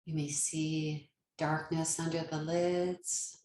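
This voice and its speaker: Slow-paced woman guiding meditation. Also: low voice, level tone, reverberant, a little hiss or hum. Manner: gentle, unhurried, soothing instruction with long pauses. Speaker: yoga nidra meditation teacher. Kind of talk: guided meditation.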